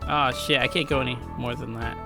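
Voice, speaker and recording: A man's voice: mid-range voice, steady tone, fairly quick. A young man reading a visual novel aloud as a let's play host, with irreverent, jokey, sweary casual commentary. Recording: game music behind. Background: music.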